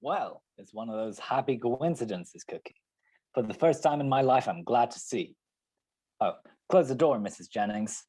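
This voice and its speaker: A husky-voiced hard-boiled detective acted by a man from a 1940s radio mystery. He is wry and unflappable, dropping terse, sardonic lines like a tough guy who has seen it all. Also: mid-range voice, lively intonation, normal speed, mellow, close to an everyday voice, rough recording. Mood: dry, wry. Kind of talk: old-time radio detective drama.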